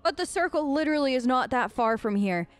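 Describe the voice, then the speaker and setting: A woman's voice: high-pitched, animated, a little fast, no room sound. A woman playing through a role-play game, voicing her choices in a casual, chatty and informal way. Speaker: let's play streamer.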